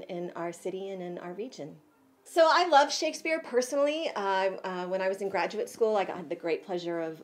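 A woman's voice: fairly low pitch, a little mellow. An American woman in her thirties, a theater artistic director, speaking casually and enthusiastically to camera. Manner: enthusiastic, gushing with superlatives. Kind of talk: casual promotional address and interviewing colleagues. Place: small indoor offices of a theater.

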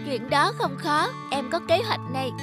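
A woman's voice: sits high, lively intonation, normal speed, music in the background. A woman voicing a vain, scheming young female slipper in a cartoon, sweetly pleading and coaxing. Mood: eager, coaxing. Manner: plaintive, coaxing. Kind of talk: animated moral tale dialogue.

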